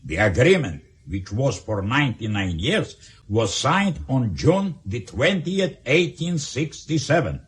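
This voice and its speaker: A scheming Soviet leader in a radio play. His medium-pitched voice moves widely up and down, yet he speaks deliberately, with weight and authority. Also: very animated. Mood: grave, calculating. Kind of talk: radio drama acting.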